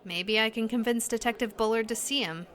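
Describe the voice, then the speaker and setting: A woman's voice: a little high, brisk, fairly bright tone, some chatter behind, lightly acted. An actress playing an uneasy but determined young woman in an audio drama, speaking in a hesitant, earnest, questioning way. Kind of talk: naturalistic audio drama dialogue.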